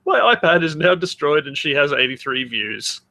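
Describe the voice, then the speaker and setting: A man's voice: on the high side, very animated, moderate pace, creaky, sharp tone. A man co-hosting a comedy podcast with wry, sardonic banter and mock-dramatic readings. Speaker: podcast co-host.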